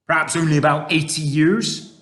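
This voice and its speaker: A man's voice: fairly high pitch, steady tone, normal speed, a bit bright, voice pushed up, close to an everyday voice. A man voicing a big friendly male giant who speaks in mangled grammar and odd invented words. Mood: gentle. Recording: roomy echo.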